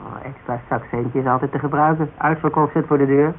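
Actor as a lighter-voiced, wry private investigator. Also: male voice, fairly high pitch, steady tone, fairly quick, lightly acted. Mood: dry, teasing. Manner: dry, teasing, casual. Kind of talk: Dutch radio drama dialogue. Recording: dull, hissy old radio recording.

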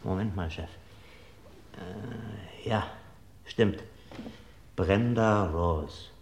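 An actor playing an unhurried police assistant who defers to his boss in a radio crime drama. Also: fairly low pitch, echoey room, a little hiss or hum. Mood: matter-of-fact.

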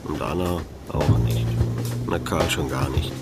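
An actor playing a disillusioned middle-aged private detective in a radio play, laconic and dryly sardonic, narrating in noir style. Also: male voice, a bass voice, unhurried, creaky, over loud music, heavily acted. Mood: wry, brooding.

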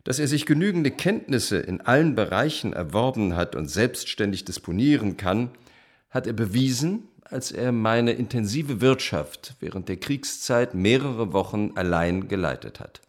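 A self-assured male landowner and cavalry captain in a radio drama. His voice is fairly low. He reads a certificate in a formal, declarative way. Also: expressive, moderate pace. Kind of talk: scripted radio play, reading a certificate.

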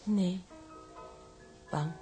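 A woman's voice: fairly low pitch, very slow, dark tone, faint music behind. An actress playing an anxious, earnest woman in a period drama, speaking hesitantly but insistently to the man she is with. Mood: subdued, afraid. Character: anxious, earnest young woman, troubled sweetheart of a tycoon. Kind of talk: acted period drama dialogue.

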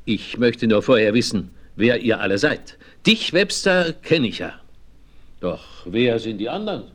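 A man's voice: medium pitch, very animated, measured pace, a bit bright. An actor playing a proud, blustery frontiersman over ninety in a western radio play, blunt and colloquial. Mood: boisterous.

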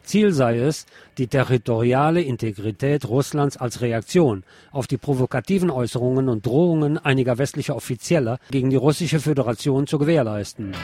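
A man presenting radio news, reading reports in a scripted style and slipping in sardonic asides. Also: mid-range voice. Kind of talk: reading news bulletins with wry commentary.

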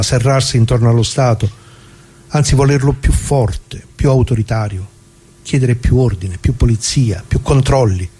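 A man's voice: medium pitch, mellow, husky, close to an everyday voice. An actor playing a plain-spoken left-wing worker who recalls the past in a colloquial theatrical monologue, putting questions to his listeners. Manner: conversational, rhetorical questions to listeners. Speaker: narrator of radio drama.

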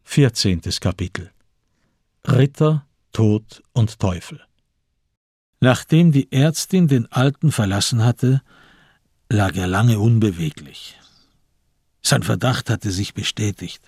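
A man narrating a literary radio drama in a measured, grave storytelling manner. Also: fairly low pitch, animated, darkish tone, slightly rough, no room sound, clean studio sound.

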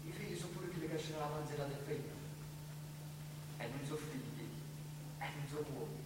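A man's voice: a little high, fast talker, natural voice. An actor playing a blunt, insistent man in a stage drama, cajoling and wry, emphatic. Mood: grave urging. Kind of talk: stage acting in dialect. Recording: echoey hall, far mic, very loud noise.

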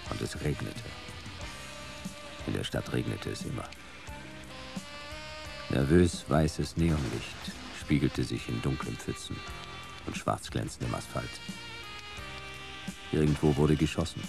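A laconic noir private eye tells his case in a radio play. His voice is extremely deep and creaky, deadpan, with clear music behind it. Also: a little slow, pauses often, exaggerated voice. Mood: brooding. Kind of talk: scripted radio play narration.

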